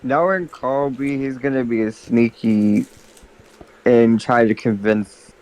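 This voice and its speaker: Slow-talking man in gaming chat. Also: mid-range voice, steady tone, a little mellow, low-quality recording. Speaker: gamer in a group let's play. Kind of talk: casual chat during gameplay.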